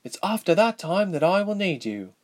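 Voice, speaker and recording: A man's voice: high-pitched, very animated, moderate pace, a little mellow, voice pushed up. A man voicing a young, petite princess in an audiobook story, impatient and earnest, with an emphatic delivery. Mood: matter-of-fact. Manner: exasperated, emphatic.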